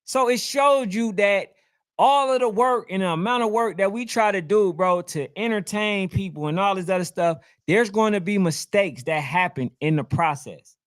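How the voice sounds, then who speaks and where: A male sports chat host with a high-pitched voice. He talks in loose slang, arguing his case and circling back to repeat his points. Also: normal speed. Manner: slangy, explanatory, repeats himself. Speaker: sports talk show host. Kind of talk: casual, heated sports chat.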